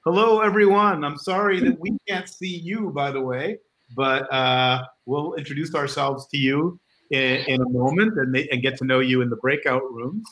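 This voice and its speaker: A man's voice: on the high side, moderate pace, fairly bright tone, low-quality recording. A middle-aged man, a department chair, casually welcoming new students on a video call. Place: home room on a video call.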